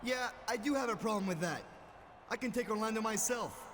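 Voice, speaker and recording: A man's voice: sits high, expressive, brisk. A man acting as an argumentative pro wrestler in a video game cutscene. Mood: confrontational. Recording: echoey hall with faint noise.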